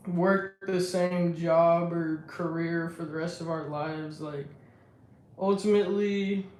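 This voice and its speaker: A young woman with a deep, strong voice talks slowly, taking her time between thoughts. She speaks with calm self-assurance on a casual live stream. Her voice bounces around an echoey room. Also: slight background noise. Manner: unhurried, reflective, self-assured.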